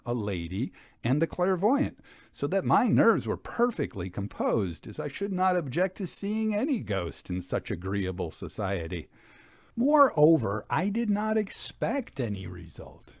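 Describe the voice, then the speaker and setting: A man giving a formal, measured and expressive storytelling read of an old Victorian ghost account. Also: medium pitch, no room sound, studio quality. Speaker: audiobook reader. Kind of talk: dramatic scripted narration of a Victorian ghost account.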